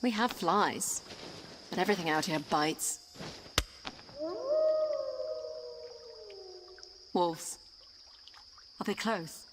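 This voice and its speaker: A woman's voice: lowish voice, slow, noisy background. A woman voicing a headstrong, curious young princess in an audio adventure game. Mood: wary, curious. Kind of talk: dramatic audio game acting.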